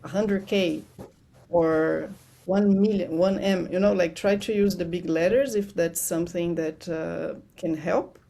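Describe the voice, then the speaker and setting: Gravelly-voiced woman, non-native English. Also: fairly low pitch, fairly even intonation, measured pace, dark tone. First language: not English. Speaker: podcast guest, financial educator. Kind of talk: casual interview chat.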